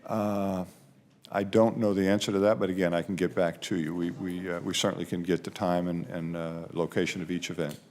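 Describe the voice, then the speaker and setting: Low-voiced man giving emphatic testimony. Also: level tone, brisk, darkish tone. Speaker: aviation official testifying at a hearing. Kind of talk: spoken testimony.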